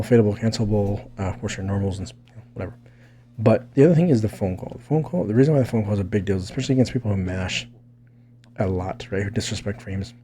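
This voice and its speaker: A man's voice: on the low side, warm tone. A man giving a casual fighting game tutorial, thinking aloud in a rambling way and often checking in with "right?". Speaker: gaming video creator explaining techniques.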